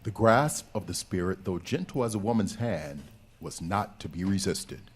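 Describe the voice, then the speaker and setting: Theatrical male narrator, animated pitch. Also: moderate pace, breathy edge. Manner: theatrical storytelling. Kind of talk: scripted radio drama narration. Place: live stage performance. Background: music.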